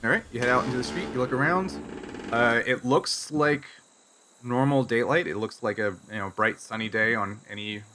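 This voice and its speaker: A man with a clearly bright, mid-pitched voice runs a tabletop roleplaying game. He improvises descriptions of the scene and explains them in a conversational manner. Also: normal speed, a little hiss or hum. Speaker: game master.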